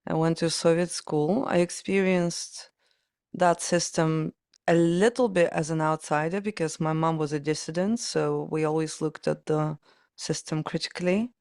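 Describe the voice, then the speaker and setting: A woman's voice: deep voice, fairly even intonation, moderate pace, darkish tone, dry recording, studio quality. A woman in her forties with a Ukrainian accent, speaking reflectively and telling stories as an interview guest. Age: 40s. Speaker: podcast guest, pianist and performance coach.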